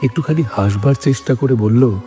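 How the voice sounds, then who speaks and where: A man reading scripted literary narration as a storyteller, in a measured manner. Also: medium pitch, dark tone. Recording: music bed behind the voice.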